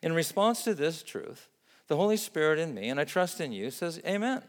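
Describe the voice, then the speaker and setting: A man who grew up in Vietnam, preaching a sermon in an earnest, measured way and building up emphatic lists. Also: a little high, very animated, big-room sound. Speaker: pastor.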